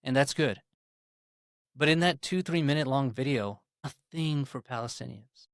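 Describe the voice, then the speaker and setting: A man's voice: medium pitch, moderate pace, dry recording. Male podcast guest, earnest and fervent, mid-range voice, dead-dry room. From Pakistan. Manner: earnest, impassioned, repeats words. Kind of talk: casual political discussion.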